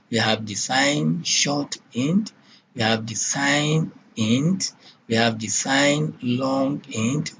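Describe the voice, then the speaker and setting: A man's voice: mid-range voice, fairly bright tone, slight background noise, low-quality recording. Lecturing man with a Nigerian accent. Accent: Nigerian English. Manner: checks in with repeated "okay?", asks and answers questions. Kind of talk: classroom lecture.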